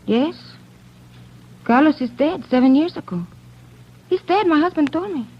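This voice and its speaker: An actress playing an uneasy married woman in a 1940s radio crime drama, answering haltingly and formally. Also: high voice, lively intonation. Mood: uneasy, reluctant. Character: guarded, uneasy married woman protecting family secret. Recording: dull vintage 1940s radio recording.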